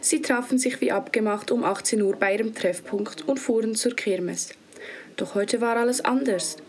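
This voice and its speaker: A woman's voice: fairly high pitch, normal speed. Teenage girl narrating a spooky story. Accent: Swiss German. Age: teens. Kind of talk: scripted narration.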